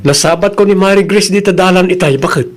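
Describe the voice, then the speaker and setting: A man's voice: high-pitched, fast, faint music behind, natural voice. A man with an Ilocano accent playing a chatty, curious village family man in an audio drama. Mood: surprised, gossipy. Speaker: drama voice actor.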